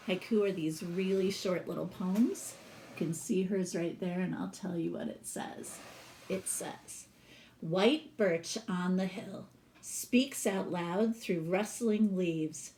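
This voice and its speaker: Woman, teacher, slow unhurried pace, mid-range pitch, expressive picture-book read-aloud, casual explanatory asides. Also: poor audio. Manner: pauses to explain the pictures to listeners.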